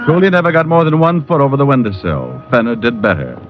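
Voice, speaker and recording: An actor playing a wry, hard-boiled American private detective in an old radio drama, deadpan and wisecracking. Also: male voice, medium pitch, fast. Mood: wry, narrating. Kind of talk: 1940s-50s radio detective drama, first-person narration. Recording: muffled old radio recording with music.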